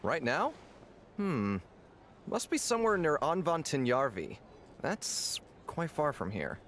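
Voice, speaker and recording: A man voicing an affable, theatrical tavern owner in a video game, with a playful, jovial and expressive manner. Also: a little high, slow pace, a little hiss or hum, heavily acted. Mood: easygoing, assured. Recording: music behind the voice.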